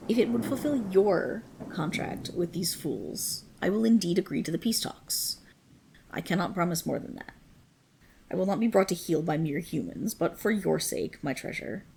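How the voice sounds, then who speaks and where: A woman voicing a proud, possessive dragon queen in a dramatic ASMR roleplay, with regal, commanding, formal diction and a breathy, husky, creaky voice. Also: medium pitch, a little mellow, slight background noise. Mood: magnanimous, proud.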